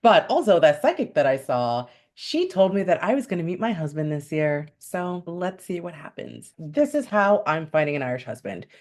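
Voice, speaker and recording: A woman's voice: deep voice, sing-song, normal speed, few pauses, darkish tone. A young woman from upstate New York, raised speaking Spanish first, chatting candidly and upbeat to camera in a vlog. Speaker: vlogger. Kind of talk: casual chat to camera.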